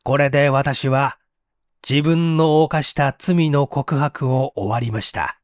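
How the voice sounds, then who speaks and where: A man's voice: medium pitch, slow pace, dry recording, studio quality, natural voice. A man voicing a remorseful condemned young man giving a solemn, formal confession in an audio storybook. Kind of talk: dramatic monologue in audio storybook.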